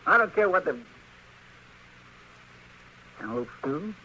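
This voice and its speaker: A man's voice: high voice, lively intonation, takes it slowly, sharp tone, heavily acted. A man playing an earnest, worrying frontier sidekick in a radio western, with a homespun rural Western American drawl. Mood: folksy, hungry. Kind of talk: 1950s radio western acting. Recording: noisy old radio recording.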